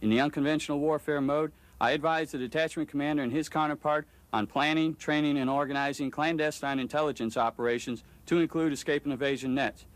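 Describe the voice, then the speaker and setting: Fast-talking male army sergeant. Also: on the high side, fairly even intonation, dry recording. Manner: formal, recited. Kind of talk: formal scripted self-introduction.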